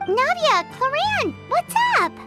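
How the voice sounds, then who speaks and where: A tiny floating fairy girl for a video game. Her childlike voice is extremely high and squeaky, leaping all over in curious, excitable exclamations. Loud music plays behind her. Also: slow, heavily acted. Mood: curious, excited. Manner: speaks of herself in third person, exclamatory. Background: bright playful music.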